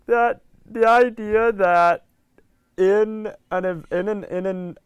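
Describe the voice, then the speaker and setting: Clear, close-miked American man, casual co-host. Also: high voice, steady tone, takes it slowly, no echo, muffled recording. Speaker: podcast co-host. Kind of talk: casual podcast chat.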